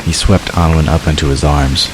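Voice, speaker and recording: A man's voice: very deep voice, steady tone, moderate pace. A man narrating a dramatic fantasy story from a script. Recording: clear hiss behind the narration.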